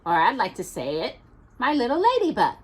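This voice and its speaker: A woman's voice: a little high, huge pitch swings, brisk, slightly rough. A woman hosting storytime, narrating a children's story expressively with playful asides to a young listener. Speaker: storytime host reading to children.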